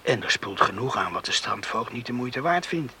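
An actor playing an eager, earnest young farmer in a radio drama. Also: male voice, medium pitch, sing-song, normal speed, pushed and bright, full character voice. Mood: eager, coaxing. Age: young adult. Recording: hissy old radio recording.

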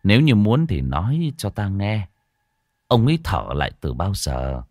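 A man voicing a stern old Buddhist monk who speaks in a solemn, deliberate way in a spoken ghost story. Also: on the low side, sing-song, a little mellow, dry recording, clean studio sound. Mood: grave.

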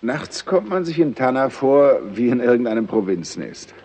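Bored official, swooping intonation. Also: male voice, medium pitch, big pitch swings, moderate pace, a little mellow, slightly rough. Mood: offhand. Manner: condescending, offhand. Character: bored, dismissive male state secretary, breezily evasive. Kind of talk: 1960s German radio play acting.

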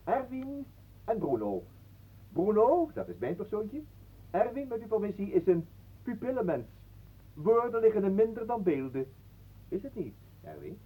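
An actor playing a jovial, intrusive talker in a radio play, flowery, pompous and mock-courteous. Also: male voice, sits high, sing-song, normal speed, long pauses, warm tone, breathy edge, exaggerated voice. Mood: jovial, showy. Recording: muffled, phone-like old radio recording.

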